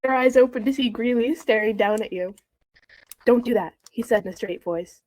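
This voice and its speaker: A woman's voice: fairly high pitch, normal speed, darkish tone. A woman reading a story aloud mock-seriously, amused and dropping wry, casual comments. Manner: amused, giggly, wryly commenting. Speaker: co-reader in a fanfiction reading video.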